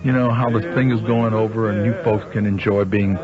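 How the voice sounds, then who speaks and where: A man's voice: on the low side, fairly even intonation, a little fast. A man presenting on radio, talking directly to listeners. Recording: dull radio recording with music.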